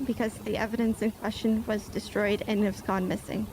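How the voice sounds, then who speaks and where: A woman's voice: medium pitch, little pitch movement, moderate pace, lightly acted. A woman playing a formal, nervous female police officer giving testimony in an online courtroom roleplay. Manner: formal, precise wording. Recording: clearly noisy game voice chat.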